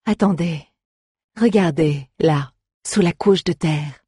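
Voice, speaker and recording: An alert woman bard acted by a storybook reader, eager and sure of herself. Her voice is a touch husky and closely recorded, with a curious, spirited delivery. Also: medium pitch, slow, mellow, dry recording, studio quality.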